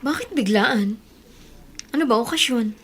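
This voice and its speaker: A woman's voice: on the high side, expressive, a little slow, husky. A woman with a Filipino accent playing an earnest, protective, self-doubting elder sister in a radio drama. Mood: guarded. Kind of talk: Tagalog radio drama acting.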